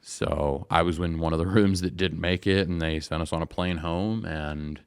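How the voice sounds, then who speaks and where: A man's voice: low-pitched, steady tone, normal speed, smooth, no room sound, clean studio sound. A man telling stories casually as an interview guest, in a laid-back, conversational way. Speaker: interview guest, singer and musician.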